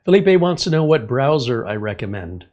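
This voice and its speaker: A man's voice: medium pitch, big pitch swings, normal speed, a little mellow, dull audio. A middle-aged man hosting a casual livestream, a little congested, chatting in a rambling way and reading viewer comments aloud. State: slightly congested. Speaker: livestream host.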